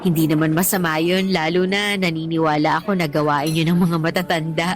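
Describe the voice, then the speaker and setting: A woman's voice: low-pitched, steady tone, brisk, natural voice. A woman acting as a candid, talkative teacher and single mother on a date in a Tagalog radio drama. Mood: light, reassuring. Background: background music.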